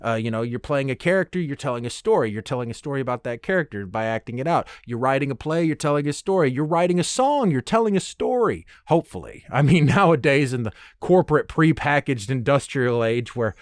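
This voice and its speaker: A man talks as a solo podcast host in a close, dry recording. His delivery is loose and rambling, drifting into tangents, with a playful and self-deprecating streak. His pitch sits in an ordinary middle range for a man. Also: animated, a little fast, hardly any pauses, dry recording. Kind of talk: casual solo podcast monologue.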